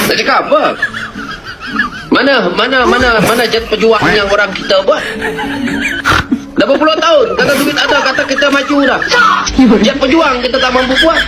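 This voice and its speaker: A man's voice: very high-pitched, rough recording. A man with a Malaysian Malay accent giving a heated, mocking speech, laughing through rhetorical questions.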